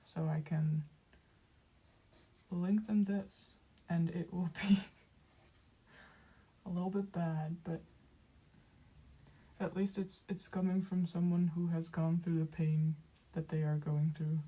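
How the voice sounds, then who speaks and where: A young woman with a Dutch accent, chatting casually with viewers while she draws, speaking softly and haltingly with many fillers and small laughs. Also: on the low side, little pitch movement, breathy edge. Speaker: streamer. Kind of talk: casual chat while drawing on stream.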